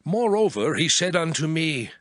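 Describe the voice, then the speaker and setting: An actor playing an earnest, awed prophet recounting his vision in a solemn, measured, expressive dramatized scripture narration. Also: a little high, no echo, studio quality. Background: music.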